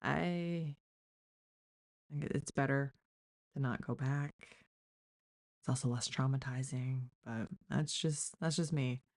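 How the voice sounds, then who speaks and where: Very low, slow-talking woman chatting casually. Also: darkish tone. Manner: hesitant, halting, thinking aloud. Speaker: live stream host. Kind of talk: casual chat commentary.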